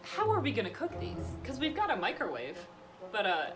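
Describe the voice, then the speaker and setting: A young woman vlogger casually telling stories in an animated way, acting out what people said. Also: on the high side, a little fast. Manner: animated, acts out quotes. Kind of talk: casual storytelling. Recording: music behind the voice.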